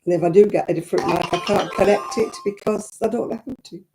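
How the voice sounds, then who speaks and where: An older woman with a croaky voice, chatting and rambling to viewers while she knits on a live stream. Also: medium pitch, fast, over music. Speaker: crafting livestream host. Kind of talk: casual chat to live viewers. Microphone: own simple mic, live stream. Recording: crackly audio.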